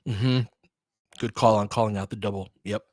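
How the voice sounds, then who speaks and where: A man's voice: on the low side, steady tone, normal speed, no room sound. A man doing casual live basketball play-by-play and analysis, mixing short clipped phrases with conversational asides as a partisan fan of the team.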